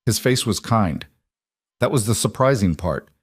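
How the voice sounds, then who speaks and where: A man narrating a scripted crime story in a measured, even way. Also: lowish voice, slightly rough, no room sound, studio quality.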